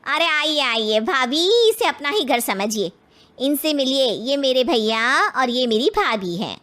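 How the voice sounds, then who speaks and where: A woman's voice: high-pitched, expressive, unhurried, fairly bright tone. A woman playing a bossy, bustling matchmaking aunt in a cartoon story. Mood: welcoming. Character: pushy middle-aged matchmaking aunt.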